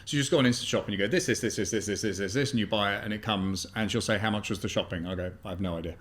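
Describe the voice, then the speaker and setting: Man in his fifties, slightly low pitch, hesitant and filler-heavy, relaxed guest answers. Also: very fast. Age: 50s. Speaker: guest, business buyer. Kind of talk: casual interview talk.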